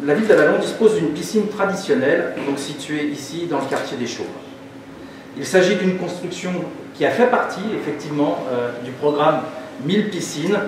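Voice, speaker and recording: A man giving a formal prepared speech as an official, with measured, didactic phrasing. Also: fairly high pitch, animated. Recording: very echoey hall, distant mic, noisy.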